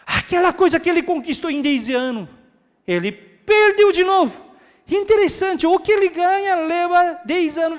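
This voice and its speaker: A man preaching a sermon as pastor, dropping to hushed asides and then rising to loud, high, emphatic repeated phrases. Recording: echoey hall with long reverb.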